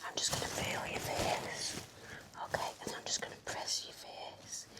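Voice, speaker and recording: A woman whispering gently and reassuringly in an ASMR roleplay as a nurse, close to the microphone. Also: rough recording.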